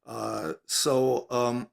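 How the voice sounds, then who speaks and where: Man with a Ukrainian accent, gravelly voice, halting academic talk, lots of fillers, distant mic in a lecture hall. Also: mid-range voice. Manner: halting, many fillers, animated. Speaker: lecture speaker.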